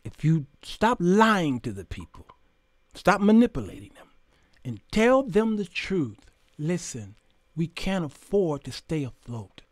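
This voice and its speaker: A high-voiced American man in his fifties talks straight to his audience as a show host. His delivery is informal, opinionated and preacherly, leaning on emphasis and rhetorical questions. The sound is dry, spoken close into the microphone. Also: sing-song, unhurried, dry recording. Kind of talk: informal opinionated monologue to camera. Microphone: close condenser microphone on boom arm.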